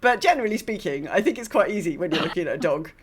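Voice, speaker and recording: Podcast co-host: woman, mid-forties, lowish pitch, conversational, rambling and expressive. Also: rapid-fire, slight background noise. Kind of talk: casual chat.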